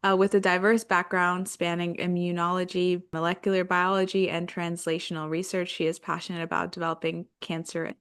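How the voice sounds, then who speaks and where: Woman, podcast host, slightly low pitch, relaxed, giggly. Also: a little fast, hardly any pauses, clear, resonant tone. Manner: reads a formal intro, then relaxed and giggly. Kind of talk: podcast interview.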